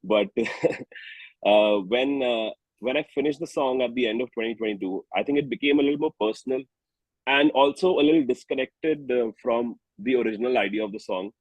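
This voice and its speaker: A man with an Indian accent speaking reflectively as an interviewed singer-songwriter, haltingly and often rephrasing himself. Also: medium pitch, no echo, low-quality recording. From New Delhi, India.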